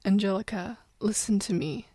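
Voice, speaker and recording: A woman voicing a protective male demon father, urgent and hushed, in a fan audiobook. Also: mid-range voice, moderate pace, breathy edge, dry recording, clean studio sound, lightly acted. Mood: hushed, reassuring.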